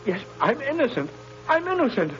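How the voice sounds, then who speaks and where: A man's voice: very high voice, big pitch swings, moderate pace, a bit bright, full character voice. An actor playing a frightened condemned man in a 1930s radio drama, pleading and panicky. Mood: desperate. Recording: noisy muffled 1930s radio broadcast.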